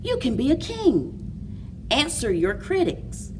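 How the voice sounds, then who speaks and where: A woman hosting a children's story time, speaking in a deliberate, expressive read-aloud manner for young listeners. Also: high-pitched, far from the mic, noisy background. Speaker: library story time host.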